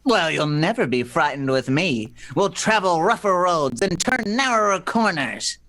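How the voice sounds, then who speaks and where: A man playing a cocky, smooth-talking young romantic in an old-fashioned radio play, read cold. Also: sits high, big pitch swings, measured pace, bright, forceful tone, heavily acted.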